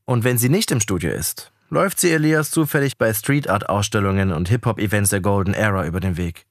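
A man's voice: on the low side, animated, fairly quick, no room sound, clean studio sound. A man reading a scripted podcast introduction as narrator. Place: dry, close studio-style recording.

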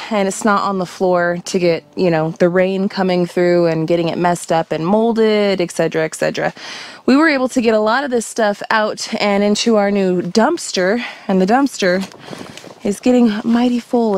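A woman's voice: mid-range voice, darkish tone. A tired-sounding woman in her thirties chatting casually to a camera held at arm's length as she vlogs about homestead life. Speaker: homestead vlogger. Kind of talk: casual vlog chat to camera. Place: inside a canvas storage tent and outdoors in a wooded yard. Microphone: handheld camera at arm's length.

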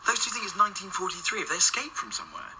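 Quick, baffled investigator. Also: male voice, high voice, huge pitch swings, pushed and bright, far from the mic, a little hiss or hum, heavily acted, poor audio. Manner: hesitant, incredulous. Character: baffled, sceptical, nervous male junior investigator. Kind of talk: radio drama acting.

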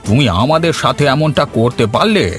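A man's voice: a little high, animated, a little fast, soft background music, lightly acted. Man acting indignant elderly village patron, cartoon. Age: older. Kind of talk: Bengali cartoon story dialogue.

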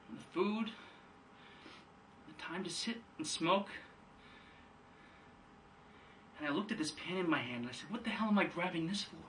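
Man acting an anguished, defiant son, high and bright. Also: a little hiss or hum. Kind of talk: theatrical audition monologue. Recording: distant microphone.

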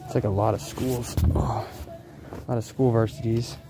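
A man with a mid-pitched voice talks casually in short, slangy bursts, half to himself. He sounds like a vlogger commenting on the fly while he works. It is recorded on a handheld camera in a large indoor space, with clear background noise around him. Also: fairly even intonation, normal speed. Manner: slangy, muttering short phrases. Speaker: vlogger, vintage clothing reseller. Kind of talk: casual off-the-cuff commentary while sorting clothes. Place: large indoor thrift warehouse.

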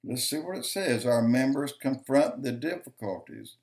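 An older man giving slow, emphatic preaching-style commentary to a webcam in his home office, counting off points and repeating lines for stress. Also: mid-range voice, expressive, a little mellow. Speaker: video commentator.

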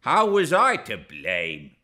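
A man's voice: medium pitch, animated, unhurried, pushed and bright. A man playing an awkward, earnest young country man in an audiobook, with plain rustic phrasing. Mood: hurt, protesting. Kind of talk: dramatised audiobook reading of a classic novel.

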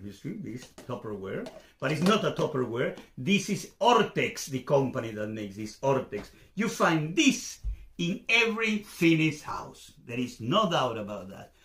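A middle-aged Venezuelan man with a Spanish accent hosts his home channel in English, pausing often with fillers and restarting phrases. His voice sits somewhat high for a man. The room around him gives it a noticeable echo. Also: a bit bright. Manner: hesitant, lots of fillers and restarts. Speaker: YouTube channel host. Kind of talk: casual explainer to camera. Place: home room with shelves, indoors. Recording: roomy echo.

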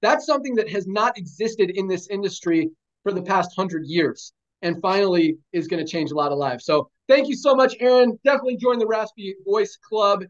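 A man's voice: high-pitched, a little fast, lo-fi sound. A man in his forties hosting a team video call, rallying people with repeated hype phrases. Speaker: host of a sales team call. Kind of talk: energetic motivational call. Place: home room, video call. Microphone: webcam on a video call.

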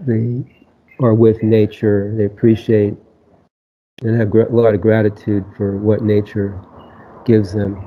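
A man in his seventies whose first language is Latvian, telling his life story slowly, pausing often with fillers. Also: lowish voice, dark tone, dull audio, a little hiss or hum. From United States. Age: 70s. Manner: slow, halting, many pauses and fillers. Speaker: guest. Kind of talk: casual interview talk.

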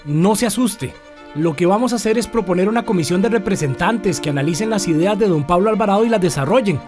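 An actor playing a resolute, concerned colonial town leader in a radio drama, emphatic and deliberate. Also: male voice, high-pitched, lively intonation, a little mellow, faint music behind.

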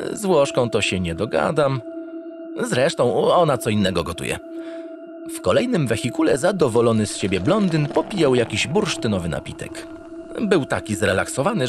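A man narrating a sci-fi comedy audio drama in a theatrical, playful way, as a cheerful, self-satisfied, dim-witted spaceship captain. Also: mid-range voice. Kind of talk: comedic first-person scripted narration.

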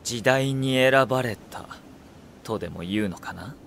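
Young male tactician, mid-pitched, refined, cerebral, courtly phrasing, audio drama, faint background noise. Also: unhurried, creaky. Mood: probing, cool. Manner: soft-spoken courtly phrasing, probing and elegant. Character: refined, cerebral young male strategist, coolly devoted to his lord. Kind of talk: Japanese audio drama acting.

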